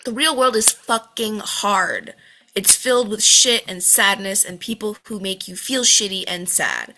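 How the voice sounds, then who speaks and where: A woman's voice: medium pitch, bright, forceful tone. A woman playing a volatile, foul-mouthed mother at a script table read, cutting and scolding. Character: bitter, volatile, foul-mouthed mother berating her grown children.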